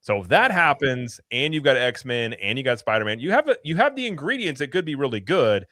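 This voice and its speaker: A man co-hosting a casual live chat show, talking in an animated, opinionated way. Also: medium pitch, brisk, dry recording, studio quality. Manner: animated, slips into impressions. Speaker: podcast co-host.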